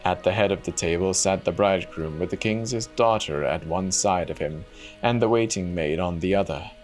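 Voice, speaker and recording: A man's voice: low voice, normal speed, a little mellow, faint music behind. A man giving a dramatic reading of a fairy tale as a storyteller.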